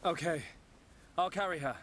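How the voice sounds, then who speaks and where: Man as earnest young game hero, high bright voice, some ambience behind. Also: moderate pace, a little hiss or hum, lightly acted. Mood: concerned. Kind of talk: video game voice acting.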